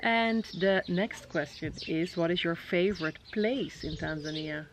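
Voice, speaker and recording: Low-voiced Dutch mother, birds behind. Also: unhurried, creaky, background noise. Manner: gentle, encouraging questioner with soft fillers. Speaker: vlogger and mother. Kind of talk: casual interview with her child. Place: outdoors at a tented safari camp. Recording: outdoor birdsong in background.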